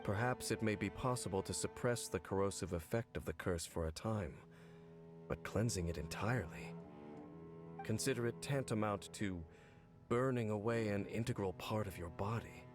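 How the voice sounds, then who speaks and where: Game role: male actor as grave, stoic centuries-old cursed man; low, measured, formal delivery over prominent music. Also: natural voice. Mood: grave, calm. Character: weary, stoic, five-hundred-year-old cursed man. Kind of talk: scripted video game voice acting. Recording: game audio with music underneath.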